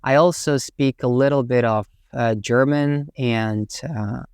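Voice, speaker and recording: A man's voice: mid-range voice, fairly even intonation, unhurried, a little mellow, clean tone, no echo, studio quality. A young man from Tatarstan with a Russian accent, hosting his channel and talking thoughtfully about learning English, with many fillers. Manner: thoughtful, with many fillers like "you know" and "right". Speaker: English teacher, channel host. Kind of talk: reflective talk to camera.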